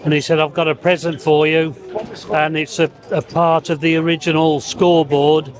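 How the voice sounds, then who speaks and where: Museum owner guy, flat highish voice, lots of uhs, chatting casual. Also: normal speed. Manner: relaxed storytelling full of "uh". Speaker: museum owner, event exhibitor. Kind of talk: casual interview. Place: marquee at an outdoor bike event.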